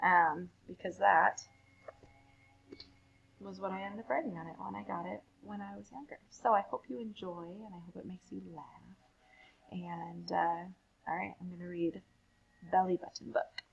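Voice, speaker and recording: A woman reading children's poems aloud and chatting casually in an animated, playful way. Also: on the low side, normal speed, gravelly, pauses often, husky, dull audio. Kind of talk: casual chat and expressive read-aloud of children's poetry.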